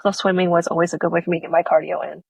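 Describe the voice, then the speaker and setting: Woman, author guest, faint Singaporean-tinged accent, fast talker, lively, dry recording. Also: lowish voice, gravelly, breathy edge, dry recording. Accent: Singaporean-tinged American. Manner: animated, quick, acts out her own reactions. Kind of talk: conversational interview.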